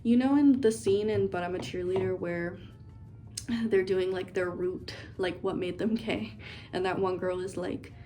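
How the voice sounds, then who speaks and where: A woman's voice: fairly low pitch, measured pace, mellow, soft background music. A young woman in a dorm room, rambling playfully as she does her makeup for a get-ready-with-me video. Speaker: beauty vlogger. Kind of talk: casual get-ready-with-me chat.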